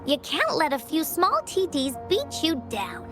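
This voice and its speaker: High, bouncy little girl adventurer. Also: huge pitch swings, measured pace, over music, exaggerated voice. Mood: reassuring, brave. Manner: speaks of self in third person, childlike pep. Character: quirky bubbly little girl adventurer, confident and playful. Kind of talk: video game character acting.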